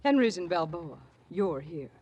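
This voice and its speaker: A woman's voice: fairly low pitch, sing-song, moderate pace, darkish tone. An actress playing a flirtatious, forward, wealthy married woman in a radio drama, coy and teasing.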